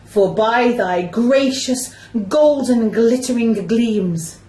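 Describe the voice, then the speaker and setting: A woman playing a lovestruck male ham actor in an amateur Shakespeare radio play, declaiming overwrought, drawn-out verse. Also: medium pitch, mellow. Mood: adoring. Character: lovestruck melodramatic male amateur actor hamming a tragic hero. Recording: echoey room.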